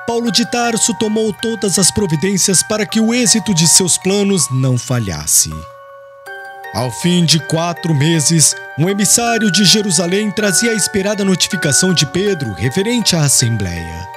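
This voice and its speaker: Male narrator, high voice, animated intonation, solemn literary storytelling, mallet music behind. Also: moderate pace. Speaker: audiobook narrator. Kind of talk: scripted literary religious narration. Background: glockenspiel-like music.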